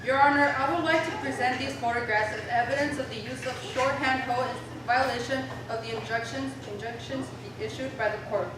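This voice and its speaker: A woman reading the part of a brisk, commanding male investigator and mentor in a stage play. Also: high voice, close to an everyday voice. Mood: formal, firm. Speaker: stage reader in a documentary play. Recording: echoey room from far off, noisy.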